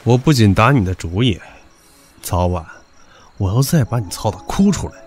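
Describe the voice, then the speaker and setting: Brief: male actor as a cocky, vulgar young man, low voice, very lively delivery, soft music behind. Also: normal speed, lightly acted. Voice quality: low, swaggering and crude. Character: brash, pushy young man, crude and teasing. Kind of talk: audio drama acting.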